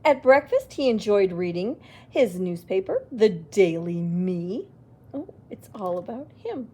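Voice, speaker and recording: A woman reading a picture book aloud to children, expressive, with little exclaimed asides. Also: mid-range voice, unhurried, breathy edge. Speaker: children's library storyteller.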